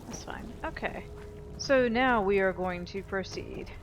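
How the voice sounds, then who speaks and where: Creaky-voiced woman doing casual gameplay commentary. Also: a little slow, a little hiss or hum. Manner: low-key, thinking aloud. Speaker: gaming video host.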